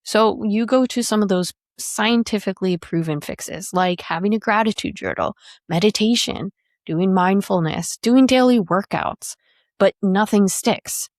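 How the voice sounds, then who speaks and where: A woman's voice: medium pitch, a little slow, no room sound, studio quality. A woman in her thirties hosting a solo podcast as a career coach, talking in a reflective, explanatory way.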